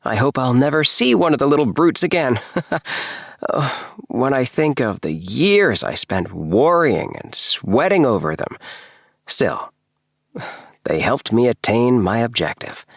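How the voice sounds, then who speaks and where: A man voicing an eager, excitable house-obsessed gentleman with a breathless, effusive tenor in a storybook reading. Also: mid-range voice, a little slow, creaky, no room sound, studio quality, pitched above the performer's own voice, full character voice. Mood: gleeful, relieved. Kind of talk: storybook character dialogue.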